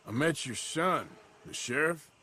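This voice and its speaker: A man playing a shrewd, smooth-talking American outlaw in a video game, with a dry, laconic drawl. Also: fairly high pitch, lively intonation, measured pace, bright, forceful tone, heavily acted. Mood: dry, wry. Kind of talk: video game cutscene acting.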